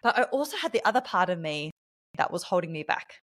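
A woman's voice: fairly low pitch, sing-song, fairly quick, a bit bright. An Australian woman speaking as a podcast guest, telling personal stories in a reflective, articulate, conversational way.

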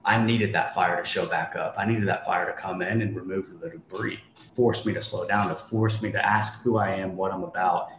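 A man's voice: low-pitched, steady tone, moderate pace, fairly bright tone. A man in his twenties, a guest on a podcast, telling his story in an emphatic, motivational way and often asking rhetorical questions. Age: 20s. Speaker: guest, real estate investor. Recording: echoey room, faint background noise.

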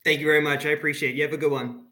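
A man's voice: on the high side, little pitch movement, rapid-fire, pushed and bright, roomy sound. A man with a New York accent, talking in a friendly, rambling way with many fillers. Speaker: guest colleague. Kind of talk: casual conversation.

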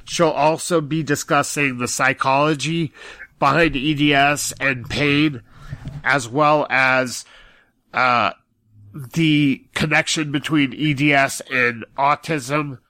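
Slow, very bright-voiced man, measured. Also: medium pitch, fairly even intonation, no room sound. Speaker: podcast co-host. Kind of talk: conversational podcast announcement.

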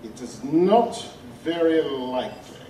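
An actor playing a courtly, condescending vampire liaison with formal, deliberate phrasing in a steampunk audio drama. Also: male voice, on the high side, expressive, mellow. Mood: haughty, amused. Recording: echoey, distant, faint noise.

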